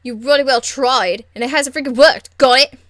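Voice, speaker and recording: Scrappy young woman rebel for a fantasy game voiced by a woman: high, blunt, cheeky, excitable, crude street slang. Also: moderate pace, no room sound, studio quality. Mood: defiant. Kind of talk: video game character acting.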